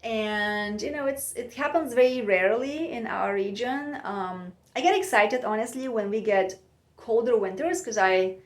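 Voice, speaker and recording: A woman from Bulgaria, musing in a soft, meandering way with many pauses and fillers as she hosts her own podcast from home. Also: a little high. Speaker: podcast host. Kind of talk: reflective personal monologue. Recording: echoey room.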